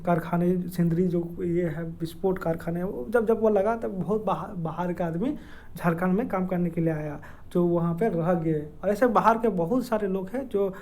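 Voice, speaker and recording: A man with a Jharkhand accent giving an informal explainer in a halting, hesitant way, with many filled pauses and repeated words. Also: high-pitched, a little mellow. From Dumka district, Jharkhand, India. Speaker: educational video creator. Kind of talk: informal explainer with screen walkthrough.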